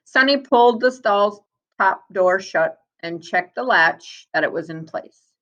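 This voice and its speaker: A woman reading a storybook aloud like a teacher, with deliberate, expressive delivery and asides to her young listeners. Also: medium pitch, dull audio.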